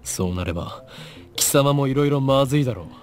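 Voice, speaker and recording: A man's voice: medium pitch, expressive, very fast, lightly acted. A man voicing a theatrical, paranoid young mad scientist in a visual novel, pompous and dramatic. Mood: guarded, haughty. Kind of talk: Japanese visual novel voice acting. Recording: game music under the voice.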